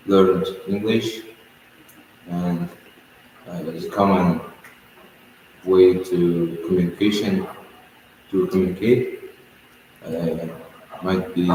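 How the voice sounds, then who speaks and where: Slow, halting teen boy. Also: low-pitched, dark tone, a little hiss or hum. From Kazakhstan. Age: teens. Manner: halting, many filler sounds. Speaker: student. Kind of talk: spoken English exam answers. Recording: echoey room from far off.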